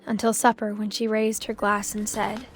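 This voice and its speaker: An actress playing a reflective teenage girl, narrating her family's past in a soft, measured, subdued way for a video game. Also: mid-range voice, flat delivery, faint music behind, natural voice. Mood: pensive, melancholy. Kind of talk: scripted first-person game narration.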